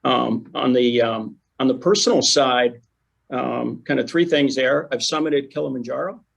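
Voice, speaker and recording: Gravelly, husky middle-aged executive man. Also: mid-range voice, moderate pace. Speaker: company chief executive. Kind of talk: panel introduction.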